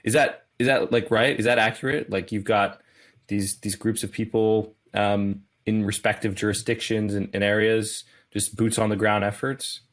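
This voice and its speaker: A man's voice: lowish voice, little pitch movement, normal speed. A man hosting an interview who affirms answers and asks curious follow-ups, with frequent restarts. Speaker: interviewer host. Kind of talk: interview questions.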